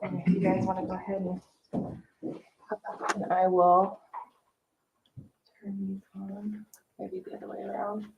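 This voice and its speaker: A woman's voice: mid-range voice, completely flat delivery, unhurried, creaky, pauses often. A woman chatting casually and practically as she helps with setup at a public meeting. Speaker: meeting staffer helping with presentation setup. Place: indoor public meeting room. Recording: dull, noisy meeting room pickup.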